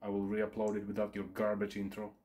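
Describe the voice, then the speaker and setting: A man's voice: lowish voice, completely flat delivery, fast talker, background noise. A man reacting to a video about himself, heckling and sarcastic, swearing freely. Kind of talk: casual reaction commentary.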